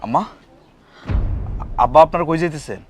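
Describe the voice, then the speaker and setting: A man's voice: fairly high pitch, lively intonation, moderate pace, slight background noise, close to an everyday voice. A man playing an earnest young husband in a village TV drama, speaking with a rural Bangladeshi accent. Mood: concerned, firm. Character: earnest young husband back from working abroad.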